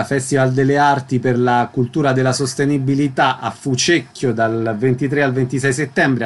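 Male radio presenter, mid-range voice, interviewing and announcing. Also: mid-range voice. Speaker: radio host.

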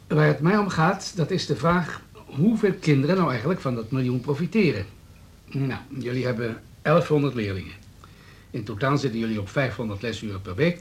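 An actor playing a hard-nosed town politician in a radio drama, businesslike, smooth and needling. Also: male voice, medium pitch, lightly acted. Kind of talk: Dutch radio drama dialogue.

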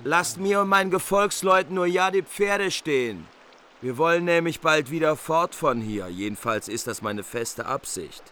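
An actor playing a proud, resolute young knight of medieval legend in a radio play, self-assured and declarative. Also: male voice, a little high, animated, normal speed, sharp tone. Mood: defiant.

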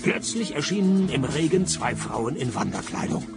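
A world-weary private eye in a radio play, with a gravelly, creaky voice pitched a little high. He is dry and sardonic, delivering every remark deadpan. Music plays clearly underneath. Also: moderate pace. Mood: dry, weary narration. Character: world-weary, sarcastic male private detective. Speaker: lead actor and narrator. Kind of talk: hard-boiled detective radio play, first-person narration and dialogue.

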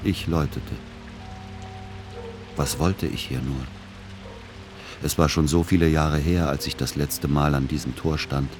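An actor playing a weary, melancholic middle-aged son in a radio play, speaking in an understated, measured, reflective way. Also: male voice, extremely low pitch, fairly even intonation, over music. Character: weary, melancholic adult son narrating his journey. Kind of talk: literary first-person narration and radio play dialogue.

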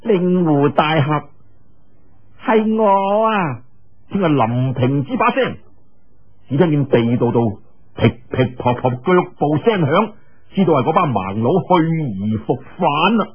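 Muffled lo-fi recording, Cantonese man, higher-pitched, dramatic colloquial storytelling, martial-arts audiobook. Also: sing-song, normal speed, slightly rough.

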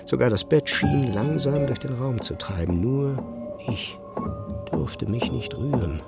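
An actor playing a brooding physicist who recounts an uncanny night in measured, restrained first-person narration for an audio drama. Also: male voice, mid-range voice, creaky, music in the background. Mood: tense, introspective.

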